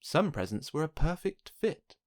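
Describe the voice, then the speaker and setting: A man narrating a children's picture book, reading aloud with expressive, dramatic emphasis. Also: fairly high pitch, moderate pace. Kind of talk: scripted storybook narration for children.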